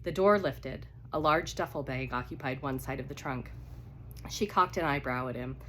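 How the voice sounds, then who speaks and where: A woman's voice: extremely low pitch, moderate pace, fairly bright tone, a little hiss or hum. A woman with a cold reads romance fiction aloud in a casual, unedited way. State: congested with a cold. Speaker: live reader of romance novels. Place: at home by a fire.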